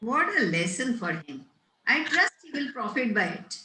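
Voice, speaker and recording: A woman's voice: a little high, very animated, moderate pace, bright, forceful tone. A woman reading the part of a prim, moralizing middle-aged governess with emphatic, preachy delivery, in a roomy-sounding space. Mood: stern. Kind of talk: amateur table read of a stage comedy. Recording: roomy echo.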